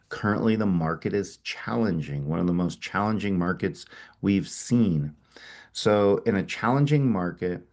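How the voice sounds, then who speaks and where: A middle-aged man with a head cold and a low voice presents at a webinar. He talks with energy and often doubles back on his words. Now and then he sniffles. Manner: energetic, repeats words as he restarts. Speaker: company founder presenting a webinar.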